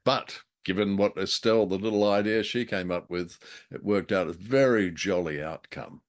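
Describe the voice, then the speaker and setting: A man with a fairly low voice co-hosts a casual review show. He banters in a dry, understated way, often feeling his way through a phrase with small hesitations. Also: normal speed. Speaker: co-host of a show reviewing old radio dramas.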